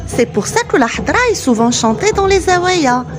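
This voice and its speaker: A woman's voice: high voice, very animated, normal speed. A woman voicing a cheerful, knowledgeable young girl who explains things enthusiastically in a scripted children's educational cartoon. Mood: instructive.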